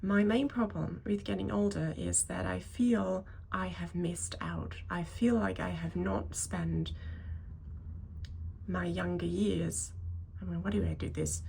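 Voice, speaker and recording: A thirty-something woman talks to her viewers in an unscripted vlog. Her voice sits a little low and is full of vocal fry. She rambles hesitantly, correcting herself as she goes, over faint background noise. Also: unhurried, a little mellow, poor audio. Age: 30s. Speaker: video creator talking to viewers. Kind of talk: unscripted personal vlog monologue.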